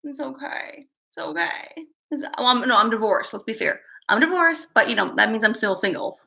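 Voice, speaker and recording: High bright-voiced woman rambling to her livestream chat. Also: animated, moderate pace, vocal fry. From Chicago, USA. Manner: rambling, chatty, reads viewer names aloud. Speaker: livestream host.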